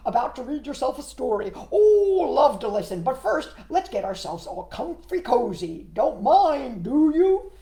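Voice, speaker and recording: A woman voicing a cheerful, pushy male frog in a storybook, with booming, drawn-out exclamations. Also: sing-song, dark tone, roomy sound, exaggerated voice. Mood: eager, breezy.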